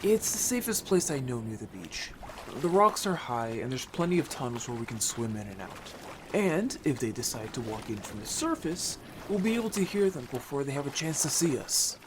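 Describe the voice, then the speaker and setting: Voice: medium pitch, sing-song, moderate pace, noisy background, natural voice. An actor playing an upbeat, bold young merfolk scout in an audio story, chatty, casual and playfully defensive. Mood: confident. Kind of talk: audio story character acting.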